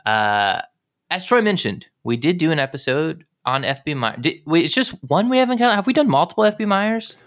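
A man's voice: fairly high pitch, very animated, a little fast, a bit bright. A man co-hosting a podcast in casual chat, rambling and rephrasing as he goes. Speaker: podcast co-host.

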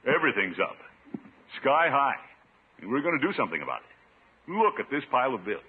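An actor playing a pompous, blustering middle-aged uncle who chuckles and preaches in a 1950s radio sitcom. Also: male voice, medium pitch, sing-song, a little fast, husky. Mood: stern, exasperated. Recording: muffled 1950s radio broadcast.

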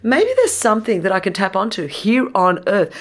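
A woman born in Australia to Greek parents, with Greek as her first language, telling her life story as a guest in a conversation, animated and rising sharply in pitch when she makes a point. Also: normal speed. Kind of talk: conversational testimony.

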